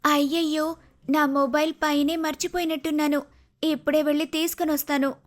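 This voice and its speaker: High-voiced forthright young bride-to-be, played by a woman. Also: level tone, brisk, faint music behind, close to an everyday voice. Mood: worried.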